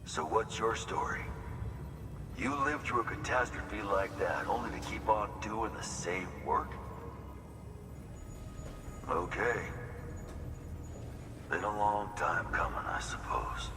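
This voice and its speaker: An actor playing a gruff, folksy outpost worker in a video game, speaking plainly and bluntly. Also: male voice, on the low side, takes it slowly, pauses often, music in the background. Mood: somber, resigned. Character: gruff, folksy grown man working a frontier outpost. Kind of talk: video game cutscene acting. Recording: roomy echoing hall, distant mic, ambient noise.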